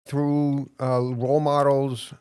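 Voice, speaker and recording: A man's voice: mid-range voice, flat delivery, takes it slowly, a little mellow, clear, resonant tone, no echo. A middle-aged man with a New York accent, a police chief talking casually and earnestly in an interview, with frequent hesitations. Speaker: police chief, podcast guest.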